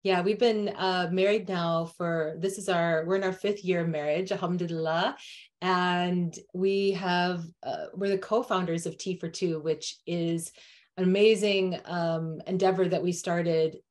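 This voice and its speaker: A woman's voice: lowish voice, fairly even intonation, unhurried. An American woman, a relationship coach, speaking in an upbeat, friendly way on a video call from home. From United States. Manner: upbeat, laughs easily. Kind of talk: casual interview talk. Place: home room.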